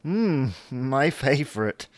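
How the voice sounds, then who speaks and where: A man's voice: medium pitch, sing-song, slow, no room sound. A man playing a whiny, sulky grown-up husband who acts like a big baby in a domestic audio comedy. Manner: plaintive, babyish, sulking.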